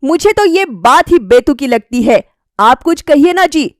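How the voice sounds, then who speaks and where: A stern middle-aged mother from a cartoon moral tale, acted by a female narrator. Her voice is high and forceful, scolding with scornful, emphatic questions. The sound is dry and close. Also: expressive, measured pace, no room sound.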